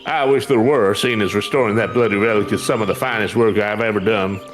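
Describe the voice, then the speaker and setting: A man's voice: fairly low pitch, steady tone, fairly quick, close to an everyday voice. A cocky, grumpy male blacksmith from a fantasy game, put on by a man, talks in blunt rustic slang. He sounds sardonic and boastful, and there is music playing behind him. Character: brash, boastful, grumpy male master weaponsmith. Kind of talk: fantasy game dialogue read aloud.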